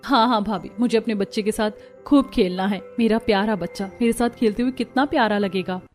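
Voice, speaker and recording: A woman's voice: mid-range voice, brisk, darkish tone, soft background music, close to an everyday voice. A woman voicing a sweet, affectionate pregnant young wife in a cartoon story. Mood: tender, happy.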